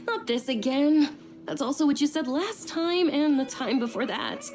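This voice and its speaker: A woman playing a caring, insistent, well-bred young lady in a video game, earnest and expressive in her delivery. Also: high-pitched, moderate pace. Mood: pleading, miffed.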